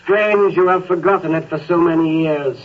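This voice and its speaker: An actor playing a solemn male ghost who leads an old miser through his past, in a 1930s radio drama, speaking slowly and with command. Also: on the high side, lightly acted. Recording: tinny, hissy 1930s radio recording.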